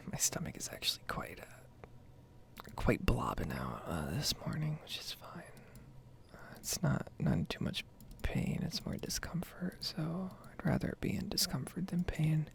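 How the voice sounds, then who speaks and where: A woman speaking in a soft, breathy whisper, rambling casually in an ASMR style as she comments on her own gameplay. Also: low voice. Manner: casual, meandering. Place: basement at home.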